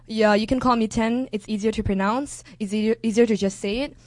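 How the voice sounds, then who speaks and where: A young woman from India, a university student, introducing herself to a gathering with hesitant pauses and fillers. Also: a little high, fairly even intonation, darkish tone, dry recording. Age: young adult. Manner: hesitant, many fillers and restarts. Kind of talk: casual public introduction.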